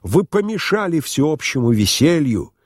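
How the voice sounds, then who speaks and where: Man acting a furious drunk accuser, high-pitched. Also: very animated, a little slow, no room sound, studio quality. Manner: loud declaiming, emphatic, accusatory.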